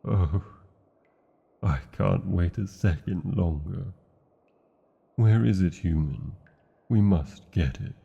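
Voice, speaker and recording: A British man playing an old, tender male dragon in a fantasy ASMR roleplay, speaking slowly and formally in a husky, gravelly voice. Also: lo-fi sound, heavily acted. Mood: eager. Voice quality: husky, gravelly, dark low voice. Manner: slow, deliberate, formal phrasing.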